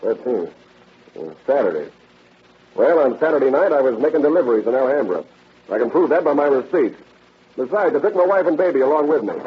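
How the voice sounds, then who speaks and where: Husky, defensive truck driver. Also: male voice, mid-range voice, expressive, fairly quick. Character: indignant, defensive working-class male delivery driver suspect. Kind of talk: 1930s radio acting. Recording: dull old radio recording.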